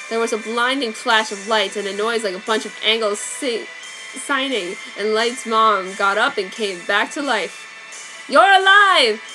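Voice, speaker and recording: A woman's voice: medium pitch, pushed and bright, rough recording. A woman giving a comic dramatic reading of fan fiction, with wry offhand asides. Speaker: reader. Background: faint background music.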